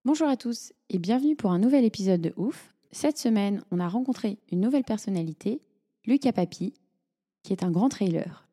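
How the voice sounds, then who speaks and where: A woman's voice: mid-range voice, expressive, a little fast, warm tone. A woman co-hosting a podcast, presenting and interviewing in a conversational style.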